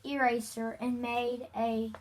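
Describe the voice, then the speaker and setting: A woman's voice: on the high side, flat delivery, takes it slowly. A female amateur reader reads a children's novel aloud with casual asides, stumbling over words and repeating them. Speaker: amateur reader hosting a read-aloud video.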